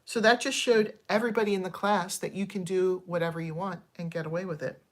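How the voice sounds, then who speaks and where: Chatty woman in her fifties, lowish voice. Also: steady tone, fast. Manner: chatty and digressive, thanking viewers mid-story; candid, frustrated complaints with frequent ums. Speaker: channel host. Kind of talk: casual livestream chat.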